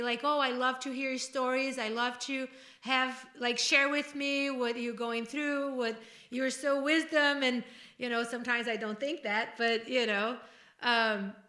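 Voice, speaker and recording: A woman's voice: fairly high pitch, sharp tone. A middle-aged woman with a Brazilian accent, talking casually and earnestly as a podcast host in a rambling way. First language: Portuguese. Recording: roomy, echoey room sound.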